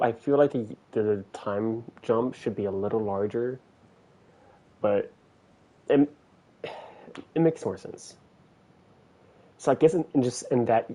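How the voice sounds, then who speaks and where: A man's voice: mid-range voice, dark tone. A man streaming a video game, giving casual commentary as he muses and thinks aloud.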